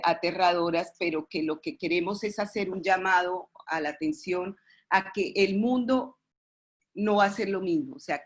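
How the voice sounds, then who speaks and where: Female expert presenter, Colombian accent, lowish pitch, formal webinar register, halting with false starts. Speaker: expert presenter at a webinar, representing a pediatric committee.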